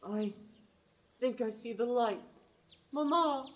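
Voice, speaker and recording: A woman's voice: fairly high pitch, takes it slowly. A woman playing a whiny, cowardly, melodramatic bandit in a radio play. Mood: whining, panicky.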